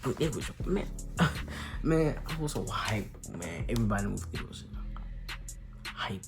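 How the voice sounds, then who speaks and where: Slow-paced young American man recapping casually. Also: fairly low pitch, music in the background. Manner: slangy, animated retelling with emphatic exclamations. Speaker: movie reviewer. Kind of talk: casual excited movie recap.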